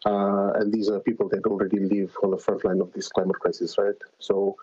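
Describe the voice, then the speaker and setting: A man from Fiji, a guest calling in to an interview, talking in a relaxed, halting way with many fillers. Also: lowish voice, slightly rough, dry recording, lo-fi sound. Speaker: interview guest, climate organizer. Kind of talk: casual remote interview.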